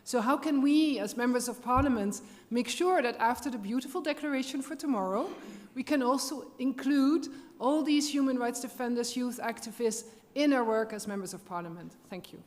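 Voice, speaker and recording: A woman's voice: fairly high pitch, moderate pace. Woman parliamentarian speaking in a big hall. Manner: warm, rallying, appreciative. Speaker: member of parliament. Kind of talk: panel question from the floor. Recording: reverberant conference hall.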